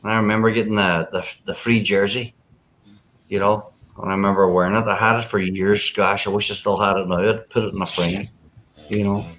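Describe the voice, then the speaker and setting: A man's voice: lowish voice, flat delivery, fairly quick, a bit bright, a little hiss or hum. A man in his forties in a casual livestream chat, reminiscing unhurriedly with many fillers over a call mic. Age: 40s.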